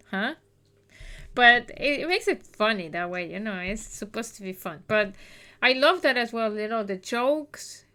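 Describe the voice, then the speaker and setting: A woman's voice: mid-range voice, bright, forceful tone, clear, resonant tone. A woman in her thirties streaming a game, chatting casually and rambling with many restarts.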